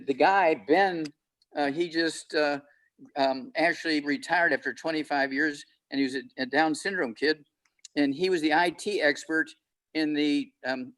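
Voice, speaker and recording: A man's voice: a little high, poor audio. A man in his eighties, a panel guest on a video call, talking boastfully and rambling with many fillers. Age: 80s.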